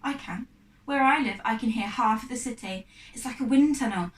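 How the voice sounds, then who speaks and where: Streetwise storybook boy acted by a woman, blunt, proud, dismissive, high-ish, roomy. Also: moderate pace, lightly acted. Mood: matter-of-fact boasting. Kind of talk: storybook character dialogue. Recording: echoey room, distant mic.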